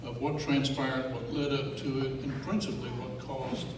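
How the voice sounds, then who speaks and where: An older Texan man delivers a grave, unhurried talk to a club audience. He pauses often and leans on fillers. The recording is distant and echoey, with steady noise in the background. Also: mid-range voice. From West Texas. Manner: measured, grave, with frequent uh fillers. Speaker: guest speaker. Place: meeting hall. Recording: echoey hall from far off, noisy.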